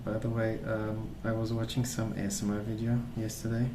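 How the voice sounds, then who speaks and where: A man rambling casually in a soft-spoken ASMR style, hesitant and meandering as he hosts. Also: fairly low pitch, monotone, brisk, reverberant, noisy background. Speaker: ASMR video host.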